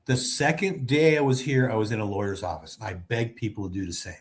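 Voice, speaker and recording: A man in his seventies from Texas, a guest on a casual video chat, talking in a relaxed way and repeating himself for emphasis. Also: on the low side, fairly quick. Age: 70s.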